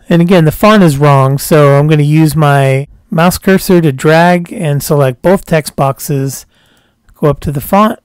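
A man walking through a software tutorial step by step as a screencast voice-over, calm and explanatory, dropping a casual "cool" between steps. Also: on the high side, clear, resonant tone, dry recording, studio quality.

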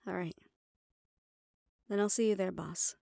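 Woman playing a tough mafia assistant, gravelly edge. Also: mid-range voice, dark tone, no echo, studio quality, exaggerated voice. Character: tough, loyal, teasing female assistant to mafia boss. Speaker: voice actor in audio roleplay. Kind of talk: intimate audio roleplay acting.

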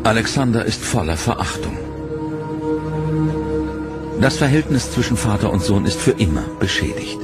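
A man's voice: lowish voice, animated. Man narrating a history documentary. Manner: measured, dramatic storytelling delivery. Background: loud dramatic music.

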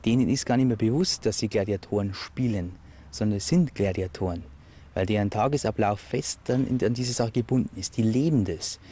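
A man's voice: lowish voice, brisk, warm tone, slight background noise. A man speaking as an expert in a documentary interview, explaining and restating his points with emphasis.

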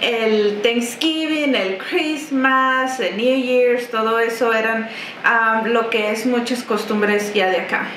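High, bright-voiced woman, chatty guest in a roomy office. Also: slow pace. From Texas–Mexico border. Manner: chatty, warm, laughs often. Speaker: business owner guest. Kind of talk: interview answers. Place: office, seated at desk. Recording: roomy office with faint noise.

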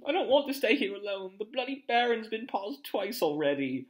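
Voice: extremely high pitch, lively intonation, normal speed, voice pushed up. A storybook reader voicing a timid, panicky young schoolboy, pleading and close to tears. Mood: pleading, frightened. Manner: pleading, tearful.

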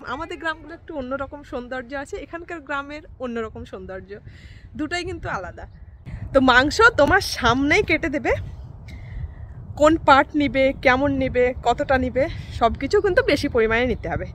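A woman's voice: sits high, animated, normal speed, fairly bright tone, a little hiss or hum. A woman from India, narrating a casual vlog in a chatty, rambling way with hesitant fillers. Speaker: vlogger.

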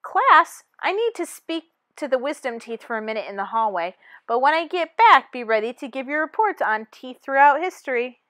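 A woman voicing a brisk, encouraging teacher of a class of teeth in a storybook, projecting instructively. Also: sits high, very animated, bright, forceful tone, dry recording, clean studio sound, full character voice.

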